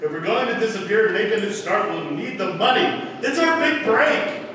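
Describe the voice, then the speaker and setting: A man's voice: high-pitched, big pitch swings, normal speed. Guy playing determined crook on the run, pushy, old radio play style, way off mic in echoey hall. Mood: insistent. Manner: urgent, insistent, persuasive. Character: determined, greedy man on the run, urging his lover. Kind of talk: 1940s radio drama acting. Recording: echoey hall, distant mic, clear background noise.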